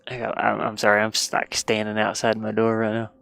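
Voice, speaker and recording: A tired man thinking aloud casually as he works on a game, muttering to himself and grumbling at the software into a headset mic. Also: fairly low pitch, monotone, brisk, vocal fry, no room sound. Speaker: indie game developer working on camera. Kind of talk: casual thinking-aloud screen-work stream.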